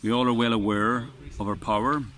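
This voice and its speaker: A man's voice: mid-range voice, fairly even intonation, a little fast, fairly bright tone. A man with a strong voice sits outdoors on a beach and talks in an earnest, rambling way, often restarting his sentences. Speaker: vlogger. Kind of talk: casual spoken reflection. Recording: faint wind noise outdoors.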